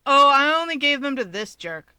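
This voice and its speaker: A woman's voice: high-pitched, very animated, normal speed, pushed and bright, dry recording, clean studio sound, voice pushed up, exaggerated voice. A woman voicing a cocky, sardonic young male hero with a flippant, wry manner in a story reading. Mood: smug, casual.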